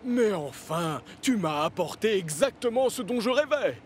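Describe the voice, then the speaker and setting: A man voicing a busy, good-natured junkyard machine in a French cartoon dub, with a jovial, animated manner. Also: high voice, measured pace, a little hiss or hum. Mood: pleased, grateful.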